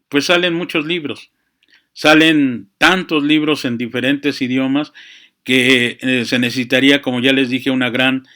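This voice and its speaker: Reflective, halting man. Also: mid-range voice, bright, forceful tone. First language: Spanish. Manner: halting, searching for words, frequent verbal tags. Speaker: speaker on chess and literature. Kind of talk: reflective, unscripted monologue. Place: small room with books.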